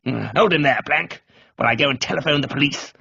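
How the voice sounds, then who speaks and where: A man playing a furious, blustering, middle-aged country gentleman who splutters accusations in a radio comedy reading. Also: fairly high pitch, quick pace, creaky, slightly rough, highs cut off, exaggerated voice. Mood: accusing.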